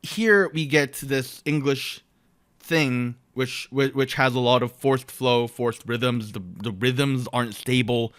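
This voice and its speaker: A man's voice: mid-range voice, takes it slowly, a bit bright, clear, resonant tone, no echo. A man whose first language is Korean, co-hosting a podcast in casual chat, opinionated and joking. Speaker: podcast co-host.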